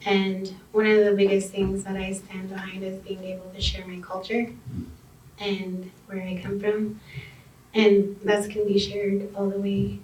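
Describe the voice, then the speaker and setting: A young woman, an emerging artist, answering shyly on a panel. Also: mid-range voice. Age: young adult. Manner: shy, slow and flat. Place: art center gallery room. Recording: distant echoey room with faint noise.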